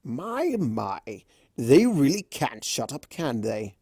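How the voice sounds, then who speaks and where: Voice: mid-range voice, big pitch swings, slow, warm tone, breathy edge, dry recording, studio quality, heavily acted. A narrator voicing a mischievous, protective male spirit of chaos in a story, with a sly, theatrical drawl. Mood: exasperated.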